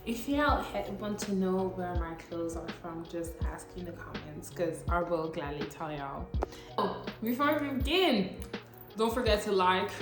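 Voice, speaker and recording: A woman's voice: fairly low pitch, a little slow. A woman in her late teens, a non-native English speaker, chatting upbeat in a casual scripted vlog with slangy asides. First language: not English. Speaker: vlogger. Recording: echoey room with faint music.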